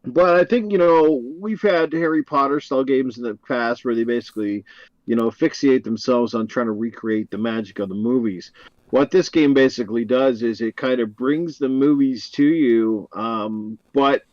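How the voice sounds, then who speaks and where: A man's voice: medium pitch, normal speed, darkish tone, no room sound, lo-fi sound. A man appearing as a guest on a gaming podcast, enthusiastic and rambling with many fillers. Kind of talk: casual gaming podcast chat.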